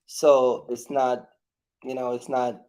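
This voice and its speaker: A man hosting a casual live question-and-answer chat, speaking in a halting, repetitive way and often addressing his audience directly. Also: medium pitch, flat delivery, darkish tone, rough recording. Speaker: livestream host.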